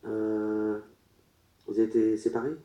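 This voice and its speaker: A man working as a medium at a public séance, relaying messages in short, broken, halting phrases. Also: on the low side, monotone, warm tone. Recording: roomy echo.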